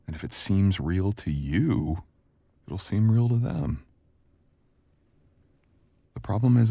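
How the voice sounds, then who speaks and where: A man playing a sharp, confident, flirtatious book editor in an intimate, conversational audio roleplay, speaking in a measured, teasing manner. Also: sits low, gravelly, husky, no echo, heavily acted. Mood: reassuring, earnest.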